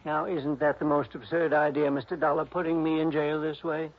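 A gentle, fussy older man in an old radio drama. His voice is fairly high, gravelly and husky, with a plaintive, hesitant delivery. It comes through a muffled vintage recording. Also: little pitch movement, a little fast. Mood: indignant, plaintive. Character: fretful, gentle older male jailed composer. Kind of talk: early 1960s radio drama acting.

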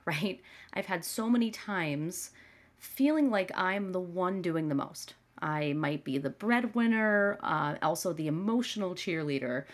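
A young adult woman hosting a solo podcast, talking earnestly and conversationally with a slightly nasal voice from a cold. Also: fairly low pitch. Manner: earnest, conversational, with frequent "right?" and "you know". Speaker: podcast host. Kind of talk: casual solo podcast talk.

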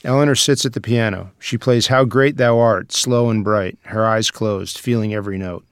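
A man's voice: on the low side, moderate pace, clean tone, no echo, clean studio sound. A man narrating a screenplay's scene headings and action in scripted table-read style. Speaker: stage direction reader.

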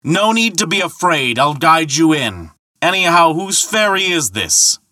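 A man voicing a booming, hot-tempered and credulous thunder god in a mythological tale, bellowing and boasting indignantly. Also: expressive, normal speed, bright, forceful tone, dry recording, studio quality. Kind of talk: dramatic mythological storytelling.

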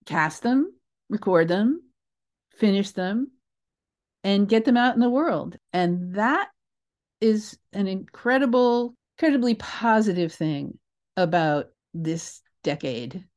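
An older woman telling stories as an interview guest in a casual, animated, emphatic way, often interrupting herself and digressing. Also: mid-range voice, takes it slowly. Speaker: interview guest, theatre creator.

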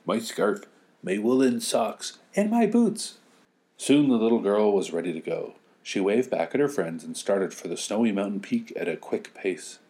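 Low-voiced middle-aged male storyteller. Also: a little mellow. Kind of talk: animated children's story reading with joking asides.

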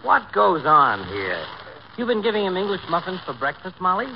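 Blustery, dry-witted American physician, swooping delivery, muffled 1940s radio comedy broadcast. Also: male voice, high voice, huge pitch swings, normal speed, creaky, background noise, exaggerated voice. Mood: sardonic. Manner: dry, grandiose insults.

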